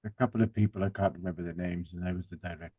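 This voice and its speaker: A man's voice: low-pitched, fairly even intonation, very fast, lo-fi sound, a crowd in the background. A man, a podcast guest and director, bantering casually with jokey interjections.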